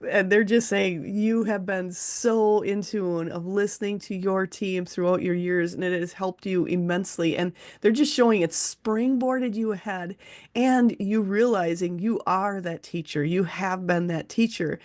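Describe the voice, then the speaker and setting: A woman's voice: medium pitch, steady tone, a little slow, a little mellow. A woman hosting an interview, speaking earnestly and at length as she relays messages from her spirit guides. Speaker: host, teacher and medium. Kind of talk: casual interview chat.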